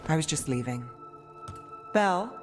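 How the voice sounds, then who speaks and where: A woman's voice: low-pitched, lively intonation, measured pace, soft background music. A woman playing a wry, mercenary potion seller in a fantasy game, with a dry delivery and a reverberant sound.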